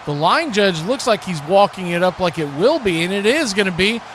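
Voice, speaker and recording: A man's voice: high-pitched, animated, a little fast, noisy background. A man hosting a live football stream, mixing play-by-play commentary with casual chat in folksy, colloquial phrasing.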